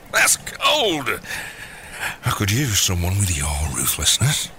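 Megalomaniac doting father played by a man, bright and wildly animated, wheedling, theatrical, comedy radio play. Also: lowish voice, slow pace, a little hiss or hum, exaggerated voice. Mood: gleeful, wheedling. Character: gleeful megalomaniac villain, doting scheming father. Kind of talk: scripted audio comedy drama.

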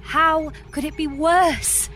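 A woman's voice: high-pitched, steady tone, slow, a bit bright, slight background noise. A woman playing an exasperated, pleading space engineer with a dry, emphatic manner in a comedy audio drama. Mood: panicked, exasperated.

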